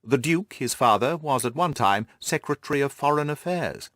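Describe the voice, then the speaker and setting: Expressive male audiobook narrator. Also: mid-range voice, moderate pace, no room sound, clean studio sound.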